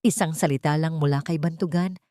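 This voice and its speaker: Low-pitched Filipino woman narrating a drama. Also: steady tone, brisk, warm tone, dry recording, studio quality. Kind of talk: dramatic scripted romance story narration.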